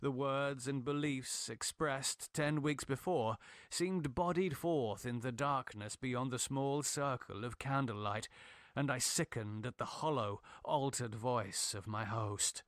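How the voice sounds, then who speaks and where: A man reading gothic horror as a dramatic narrator, in a grave, measured, theatrical manner. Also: mid-range voice, a bit bright, no echo, studio quality. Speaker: narrator, audiobook reader.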